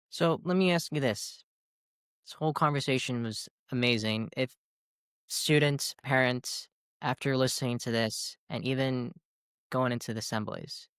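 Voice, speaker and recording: A man hosts an interview, asking questions and praising his guest. His voice is smooth and resonant at a middle pitch. It is recorded dry, right up on the mic. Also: a bit bright, no room sound. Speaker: podcast host.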